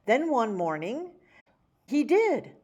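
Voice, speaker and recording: A woman reading a rhyming children's picture book aloud, with theatrical, measured phrasing and dramatic emphasis. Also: a little high, sing-song, a little mellow, slightly rough. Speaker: children's story reader. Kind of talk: expressive picture-book read-aloud in rhyme.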